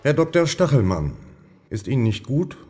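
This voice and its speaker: Man acting a patrol cop, polite, plain-spoken, lively intonation, radio play, faint noise. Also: medium pitch, normal speed, natural voice. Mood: politely concerned.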